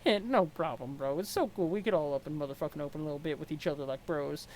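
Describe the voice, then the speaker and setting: A performer voicing a young male alien slacker clown, mellow and foul-mouthed, who rambles in slangy, swearing sentences. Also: mid-range voice, fast, lightly acted. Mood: chummy, laid-back. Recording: clear background noise.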